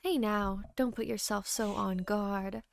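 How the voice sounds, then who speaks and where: A woman's voice: mid-range voice, fairly even intonation, unhurried, gravelly, dry recording. A woman voicing a smug, flippant male game master in a painting, teasing in slangy drawl.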